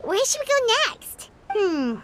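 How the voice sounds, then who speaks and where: A woman voicing a small, chirpy, childlike fairy companion in a video game, squeaky and eager. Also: sing-song, slow, fairly bright tone, full character voice. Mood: curious. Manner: bubbly, eager. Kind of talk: video game character acting. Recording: music behind the voice.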